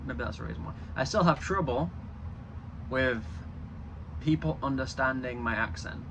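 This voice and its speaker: A man's voice: mid-range voice, normal speed, gravelly, background noise. A young man from Yorkshire with a Yorkshire accent touched by a Canadian twang, chatting casually in a rambling vlog. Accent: Yorkshire English with a slight Canadian twang. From Yorkshire, northern England. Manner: chatty, rambling, with frequent restarts. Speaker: vlogger.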